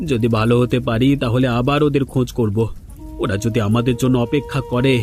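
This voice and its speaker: A man's voice: medium pitch, fairly even intonation, moderate pace, a little mellow, faint music behind, natural voice. A man voicing a needy, plaintive village thief and husband in a cartoon drama. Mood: remorseful. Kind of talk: Bengali cartoon voice acting.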